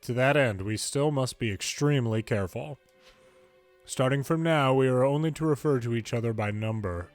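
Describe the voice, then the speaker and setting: A man's voice: mid-range voice, normal speed, soft background music. A man reading video game story dialogue aloud in English, lightly acting out the different characters. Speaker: fan reader of game dialogue.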